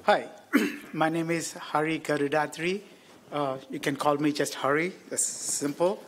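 A man's voice: a little high, steady tone, unhurried, a bit bright, slight background noise. A middle-aged man, an engineer introducing himself with light humor on a conference panel into a table microphone. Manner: light, self-deprecating humor. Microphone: table mic on stand.

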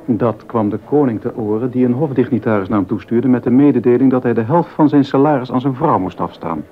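Man playing a gossipy sympathetic friend in a baroque period drama, mid voice, measured, dry humour, bit muffled. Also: medium pitch, lightly acted. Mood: dryly amused. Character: baroque musician's worldly, sympathetic confidant, gossipy. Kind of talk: Dutch docudrama dialogue. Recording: dull sound with background noise.

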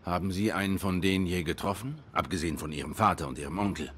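Deep-voiced brooding detective tomcat. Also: male voice, fast talker, fairly bright tone, a little hiss or hum. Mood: sober, probing. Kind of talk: noir game dialogue acting.

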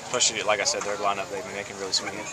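A young man, a college baseball pitcher, answering postgame questions outdoors at night in an easygoing way, chuckling now and then. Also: little pitch movement, rapid-fire. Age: young adult. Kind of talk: postgame interview. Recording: outdoor crowd noise behind.

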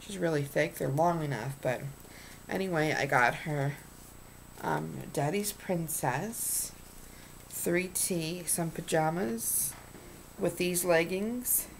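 A woman's voice: low-pitched, slow, gravelly, a little hiss or hum, low-quality recording. A woman in her thirties chatting casually in a home vlog, rambling with ums and restarts as she talks at her webcam. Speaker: vlogger showing purchases. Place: small room indoors. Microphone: webcam at desk.

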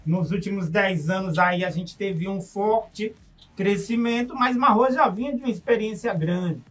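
A man's voice: high voice, normal speed, far from the mic. A man hosting a radio interview, asking upbeat leading questions in a studio. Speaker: radio show host.

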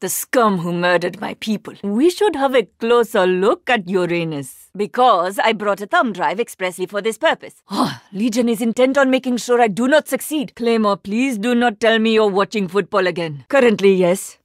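A woman voicing a composed, determined heroic archer and scientist in a video game, speaking in a formal, measured, precise way. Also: medium pitch, no echo, clean studio sound, close to an everyday voice. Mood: focused, wry. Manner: formal, measured, precise wording with few contractions. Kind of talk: video game character voice lines.

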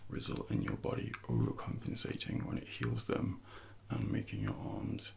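A man in his thirties speaking softly and breathily in an ASMR roleplay as a personal trainer, often slipping into whispers while instructing. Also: fairly low pitch, very animated, normal speed, creaky. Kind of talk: soft-spoken ASMR roleplay.

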